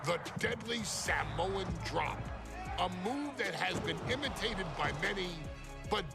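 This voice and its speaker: Male narrator, high-pitched and slow, grandiose and reverent, scripted showcase presentation with weighty pauses. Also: expressive. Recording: music under the voice. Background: music.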